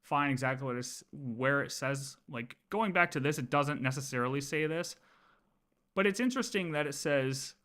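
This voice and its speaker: A man's voice: mid-range voice, animated, moderate pace, sharp tone. A man livestreaming casual game lore commentary, thinking aloud and often repeating his words as he works through ideas.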